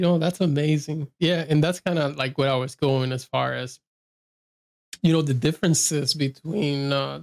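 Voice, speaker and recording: A man with a gravelly, creaky voice runs a casual interview. He winds through long questions with many fillers and laughs readily. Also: medium pitch. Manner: rambling, filler-heavy questions, laughs easily.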